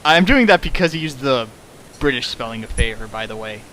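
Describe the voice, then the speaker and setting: A man's voice: mid-range voice, lively intonation, fairly quick, bright, forceful tone, poor audio. A man reading a fan fiction aloud on a stream and mocking it with sarcastic, exasperated commentary. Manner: sarcastic, exasperated, shifts into mock voices. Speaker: fanfic reader and riffer. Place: home streaming setup.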